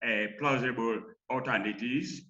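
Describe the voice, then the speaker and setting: A man presenting research in a lecture, with halting, emphatic phrasing. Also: mid-range voice, sharp tone. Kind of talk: academic lecture. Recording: slightly dull online call audio.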